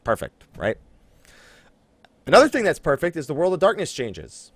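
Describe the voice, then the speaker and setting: A man hosting a casual gaming podcast, chatting in an animated, teasing way with a co-host. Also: on the high side, normal speed, dry recording.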